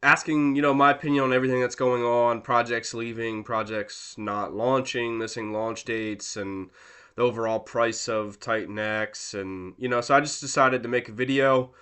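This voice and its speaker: A man's voice: medium pitch, steady tone, moderate pace, fairly bright tone, smooth. Congested man rambling through crypto talk. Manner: rambling, filler-heavy, self-deprecating. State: congested from a cold. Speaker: crypto channel host. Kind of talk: casual talking-to-camera market commentary.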